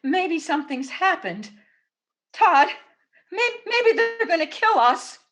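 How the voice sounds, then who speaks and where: A woman's voice: very high-pitched, very animated, slow, a bit bright, exaggerated voice. An actress playing a frightened, anxious wife and mother in an old-time radio drama, hesitant and pleading. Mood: pleading, fearful.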